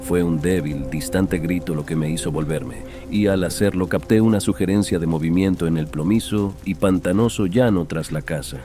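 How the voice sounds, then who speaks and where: A man's voice: sits low, normal speed, few pauses. A man reading a horror tale as a dramatic audiobook narrator. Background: background music.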